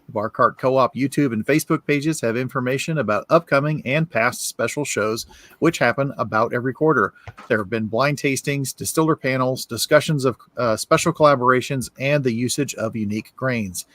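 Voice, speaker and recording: A man of middle pitch co-hosts a whiskey podcast and runs through announcements in a friendly, rambling way with plenty of uhs. His sound is dry and close, with no room echo. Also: a little fast, a bit bright. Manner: friendly, rambling, with filler words. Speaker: co-host.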